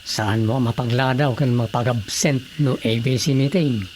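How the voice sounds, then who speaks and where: A man's voice: mid-range voice, steady tone, normal speed, a little hiss or hum, lightly acted. A man playing a friendly, eager village brother-in-law in an Ilocano radio comedy drama, with an Ilocano accent.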